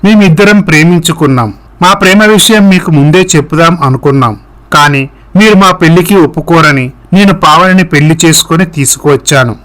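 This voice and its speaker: A man's voice: fairly high pitch, brisk, fairly bright tone, close to an everyday voice. A man playing an earnest, persuasive young man in love in a story, pleading and reassuring.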